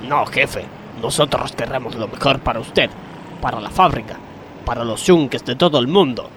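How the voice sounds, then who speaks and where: A man's voice: medium pitch, normal speed, fairly bright tone, slight background noise, close to an everyday voice. A man with an Argentine accent playing an earnest, scheming factory worker in a comedy radio sketch.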